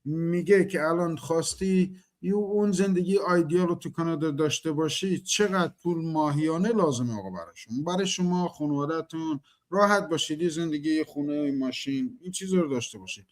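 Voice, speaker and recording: A man whose first language is Persian, hosting an interview and translating between guests in a quick, halting way with many fillers. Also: fairly high pitch. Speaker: host and interpreter.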